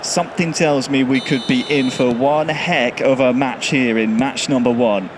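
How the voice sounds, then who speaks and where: A man's voice: mid-range voice, moderate pace, background noise. A man commentating live on an esports match as host, speaking into a headset. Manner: builds to excited calls. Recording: music playing behind.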